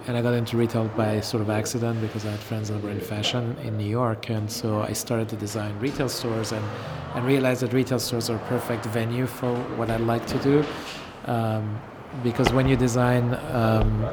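A middle-aged man from Iran talking casually about his design career, pausing often with ums. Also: mid-range voice, background noise. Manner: relaxed, hesitant with many ums. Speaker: interview guest, designer. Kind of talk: casual interview recollection.

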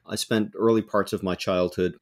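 A man, a professor, telling stories in an interview, relaxed and explanatory with frequent fillers. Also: low voice, normal speed. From Denmark and US. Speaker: folklore professor, guest. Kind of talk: interview storytelling.

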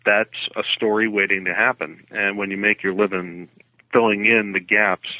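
Low-voiced man on a phone-like line. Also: flat delivery, normal speed, dry recording. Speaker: novelist guest. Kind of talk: interview answers, explanatory. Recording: phone-like narrow band, dry.

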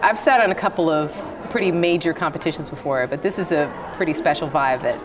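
A woman's voice: sits low, brisk, noisy background. A woman speaking as a competition juror in a casual interview, with an enthusiastic, effusive manner. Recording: busy crowd chatter behind. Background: crowd chatter.

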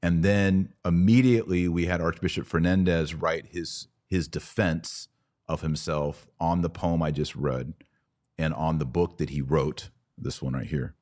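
A man's voice: rumbling low, steady tone, measured pace, a little mellow. A man hosting a podcast, giving conversational religious commentary with emphatic, repeated phrasing. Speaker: podcast host.

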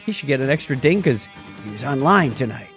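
A man's voice: medium pitch, sing-song, fairly quick, a bit bright, faint music behind. A man co-hosting a casual podcast, talking in a jokey, teasing way. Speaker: podcast co-host. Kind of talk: casual podcast chat with patron thank-yous.

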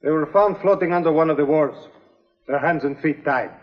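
Guy acting polite police lieutenant, formal n careful, highish voice, muffled old recording. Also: fairly quick, lightly acted. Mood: calm, courteous. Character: courteous, measured foreign police lieutenant, a man. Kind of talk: old-time radio drama acting. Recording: dull lo-fi vintage drama soundtrack.

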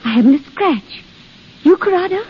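A woman's voice: very high voice, lively intonation, moderate pace. A woman playing a dreamy, entranced young girl in 1930s radio drama style. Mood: awed. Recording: muffled, noisy 1930s radio broadcast.

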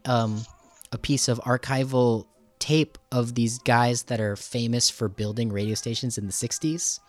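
A man's voice: medium pitch, steady tone, measured pace, darkish tone. A man hosting a casual conversational interview, talking in a rambling, enthusiastic and self-deprecating way. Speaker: radio show host.

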